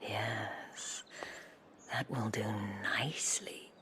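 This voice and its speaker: An actress playing a sly, elegant, teasing madam in a video game, with a sultry, half-whispered, genteel delivery. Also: female voice, extremely low pitch, fairly even intonation, very slow, pushed and bright, exaggerated voice. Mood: measured, knowing. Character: sly, elegant madam of a pleasure house, teasing and self-assured. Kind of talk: video game cutscene acting. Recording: music behind the voice.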